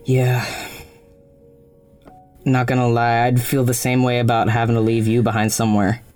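Low, mellow, caring young woman. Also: completely flat delivery, normal speed, faint music behind. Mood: affectionate, encouraging. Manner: casual, tentative, supportive. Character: caring, frank, teasing young woman friend. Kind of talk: naturalistic audio drama acting.

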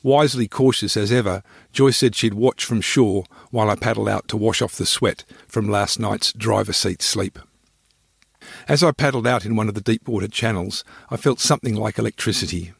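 A man narrating his own scripted travel memoir in a measured, descriptive storytelling manner. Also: on the low side, vocal fry, breathy edge, no room sound, clean studio sound.

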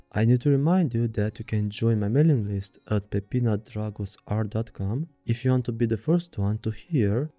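A man with a Romanian accent hosting a podcast, reading a word-for-word script in an even, deliberate way. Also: lowish voice, no room sound. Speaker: podcast host.

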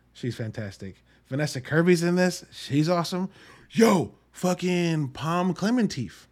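A man hosting a casual solo movie review podcast, talking in an informal, slangy, emphatic way with some swearing. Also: mid-range voice. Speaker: podcast host.

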